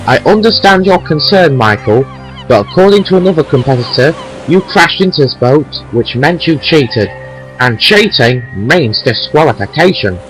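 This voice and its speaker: A man playing a stern but fair-minded railway controller, formal and measured, in an amateur audio drama. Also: a little high, expressive, a bit bright, soft background music, poor audio.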